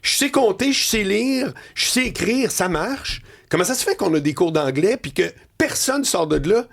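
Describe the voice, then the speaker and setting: A middle-aged man with a Quebec French accent, telling animated stories on a radio talk show and often laughing. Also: normal speed, vocal fry. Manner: storytelling, laughing, swinging pitch. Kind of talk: animated editorial chat. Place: radio studio.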